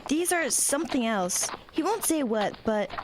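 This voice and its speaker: Woman acting small-town horse-riding girl, high voice, friendly, easily alarmed, audio drama, noisy background. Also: big pitch swings, normal speed. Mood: friendly, chatty.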